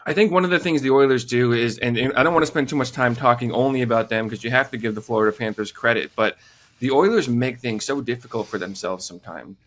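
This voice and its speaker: Man, podcast co-host, easygoing, casual chat, medium pitch. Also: fast talker, fairly bright tone. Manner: easygoing, laughs as he talks.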